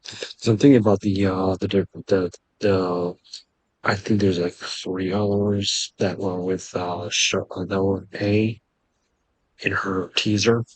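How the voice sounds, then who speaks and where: A man giving casual gameplay commentary in a hesitant, halting, musing way. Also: deep voice, level tone, breathy edge, no echo, rough recording. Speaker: video game streamer.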